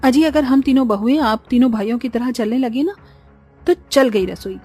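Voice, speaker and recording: महिला द्वारा ताने देती किफ़ायती बहू, ऊँचा सुर. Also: female voice, fairly high pitch, normal speed, mellow, husky, soft background music. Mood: scolding. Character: thrifty, scolding daughter-in-law of a poor household. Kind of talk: Hindi cartoon story acting.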